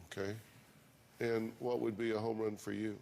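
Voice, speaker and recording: A man's voice: lowish voice, little pitch movement, moderate pace, gravelly, a little mellow, a little hiss or hum. Dry-humored man hosting a talk show. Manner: dry joking, steering a heated family dispute.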